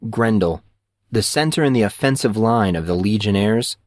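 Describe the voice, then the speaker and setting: Low-pitched male audiobook narrator. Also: animated, normal speed, dry recording, clean studio sound. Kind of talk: scripted dramatic story narration.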